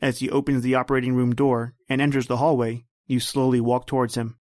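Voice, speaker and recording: A man's voice: medium pitch, little pitch movement, fairly quick, darkish tone, no room sound. A man hosting and narrating a scripted old-time radio style suspense drama, close to the mic. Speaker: podcast host and narrator.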